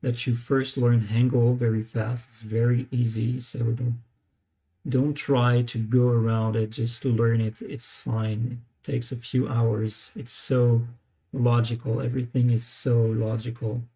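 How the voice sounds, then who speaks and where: Man, live screen-share, slow and hesitant, mellow tone, lots of fillers. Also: medium pitch, level tone, slightly rough, poor audio. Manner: hesitant, many pauses and fillers, trying out words. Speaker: language learner streaming live. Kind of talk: live screen-share walkthrough, thinking aloud.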